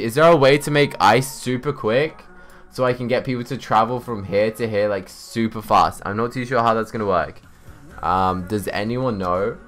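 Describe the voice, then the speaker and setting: A young man giving casual live gaming commentary, chatting, thinking out loud and reading out chat messages. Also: fairly low pitch. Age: young adult. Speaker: livestreamer. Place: indoors, small home room.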